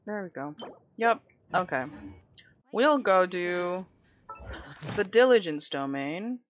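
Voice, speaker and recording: A woman's voice: fairly low pitch, a little hiss or hum. Woman streamer with a slight lisp. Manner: chatty, playful, talks to chat. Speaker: game streamer. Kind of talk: casual gameplay commentary. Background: faint game music.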